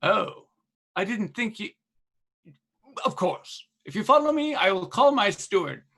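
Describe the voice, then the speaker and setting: A flustered, greedy sheriff talks in a husky, breathy voice. He fawns and stammers to win favor in a radio play. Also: sits high, sing-song, a little slow, a bit bright, full character voice. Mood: fawning. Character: fawning, nervous male sheriff, greedy for favor.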